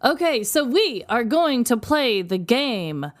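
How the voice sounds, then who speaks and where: A woman's voice: a little high, sing-song, slow pace. A woman co-hosting a podcast, chatting casually and enthusiastically as she runs a game. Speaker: podcast co-host. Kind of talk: casual game-show chat.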